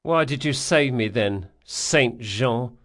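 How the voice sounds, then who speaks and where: A man's voice: medium pitch, takes it slowly, fairly bright tone. A male narrator voicing a bitter, sulking, sardonic young rogue in an audiobook story. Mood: angry outburst. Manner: sneering, anguished, outbursts.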